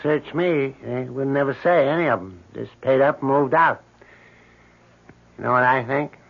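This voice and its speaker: A man's voice: mid-range voice, normal speed, vocal fry. A muffled radio-drama recording carries a male building superintendent with a mid-range voice. He gossips in a folksy, laconic way, with gloomy relish and a matter-of-fact air. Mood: ominous, matter-of-fact. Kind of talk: old-time radio drama acting.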